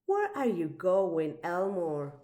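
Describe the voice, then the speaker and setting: A woman voicing an eager, bold small child in a read-aloud storybook, with an excitable childlike manner. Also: on the low side, slow pace, exaggerated voice. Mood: eager, curious. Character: small toddler sibling, eager, adoring and bold.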